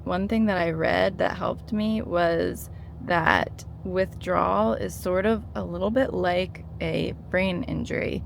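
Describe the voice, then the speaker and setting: A woman's voice: lowish voice, fairly even intonation, slow, vocal fry, slight background noise. A woman in her thirties talking casually to camera, earnest and encouraging, with many fillers and restarts. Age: 30s. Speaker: vlogger sharing her withdrawal experience.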